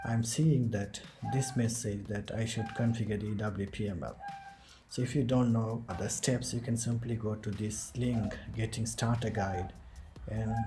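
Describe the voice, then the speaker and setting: A man with a fairly low voice explains a software setup one step at a time, narrating his clicks as he goes. Music plays clearly underneath him throughout. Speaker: tutorial host. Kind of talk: screen-recorded software tutorial.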